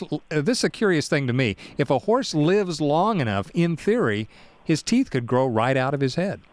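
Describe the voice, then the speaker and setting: A man hosting a radio interview, asking curious questions and restating points for his listeners. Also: mid-range voice. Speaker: radio show host.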